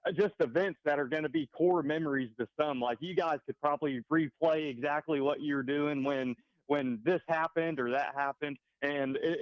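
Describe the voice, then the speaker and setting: A man's voice: on the high side, no echo, dull audio. A young man, a race car driver, chatting casually on a podcast and filling his talk with "like". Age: young adult. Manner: casual, heavy on "like".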